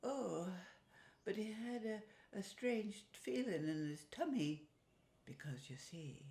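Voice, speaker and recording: A woman telling a folk tale in a theatrical, folksy way with a put-on Irish accent and dramatic pauses. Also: medium pitch, lively intonation, dark tone. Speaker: storyteller. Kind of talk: oral folk storytelling to viewers. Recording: roomy, echoing sound.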